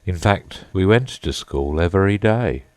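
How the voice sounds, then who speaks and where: A man voicing a sorrowful, sighing male Mock Turtle with a deep, hollow tone. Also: animated, a little mellow, no echo. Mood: mournful. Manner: slow, mournful, sobbing.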